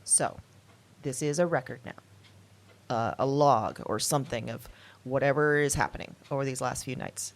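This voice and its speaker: A woman playing a shaken, thoughtful diarist in an intimate audio drama monologue, speaking hesitantly in broken, reflective phrases. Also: extremely low pitch. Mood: resolved, wondering.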